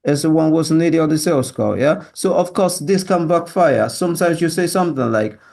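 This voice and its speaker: A Yoruba-speaking Nigerian man with a learned British accent, pitching himself as a salesman in an assertive monologue, often tagging statements with a questioning yeah or right. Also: fairly quick, vocal fry, a little mellow. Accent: learned British with Nigerian influence. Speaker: sales closer, job applicant. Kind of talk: self-presentation monologue to camera.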